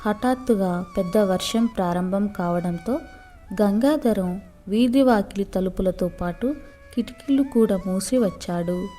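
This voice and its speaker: A woman's voice: medium pitch, measured pace, warm tone, faint music behind. A woman reading a scripted moral story for children as a storyteller.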